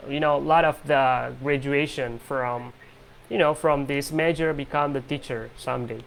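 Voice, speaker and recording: A man's voice: mid-range voice, fairly even intonation, moderate pace, gravelly, slight background noise, rough recording. A young man from Indonesia with an Indonesian accent, hosting a casual English practice video call and speaking hesitantly with many fillers. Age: young adult.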